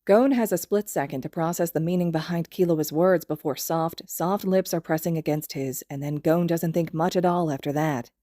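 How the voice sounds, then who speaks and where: A woman's voice: low-pitched, moderate pace, hardly any pauses, warm tone, no room sound, clean studio sound. A woman reading a story aloud in scripted narration. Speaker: podfic reader.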